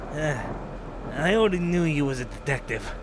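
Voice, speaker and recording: A man's voice: on the high side, expressive, a little slow, background noise. A man playing a loyal, self-sacrificing criminal in an audio drama, earnest and plain-spoken. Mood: wistful. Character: loyal, self-sacrificing male career criminal, gently resigned. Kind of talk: audio roleplay drama acting.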